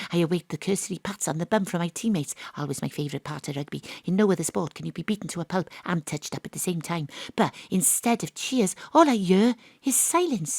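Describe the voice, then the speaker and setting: A woman giving comic first-person narration as a detective, brisk, wry and self-assured. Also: mid-range voice, dry recording, clean studio sound.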